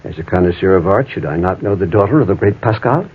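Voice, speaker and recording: A man's voice: low-pitched, expressive, fast talker, husky. An actor playing a fatherly, learned art connoisseur in an old-time radio drama, speaking in a formal, urging, theatrical manner. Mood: urging, confiding. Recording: tinny, band-limited old radio recording, faint noise.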